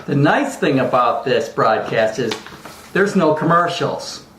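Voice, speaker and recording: A man's voice: mid-range voice, lively intonation, a little slow, fairly bright tone. Rambling amateur sportscaster man, echoey room. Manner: rambling, stumbling, self-deprecating. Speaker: amateur sportscaster, sidekick co-host. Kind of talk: informal mock sports report. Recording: echoey room, faint background noise.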